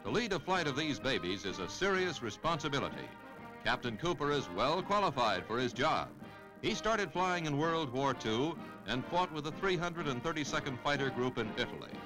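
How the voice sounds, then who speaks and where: A man's voice: mid-range voice, big pitch swings, normal speed, low-quality recording. A man with an American accent reading scripted 1950s newsreel narration in a polished announcer delivery. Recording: 1950s newsreel soundtrack, music behind.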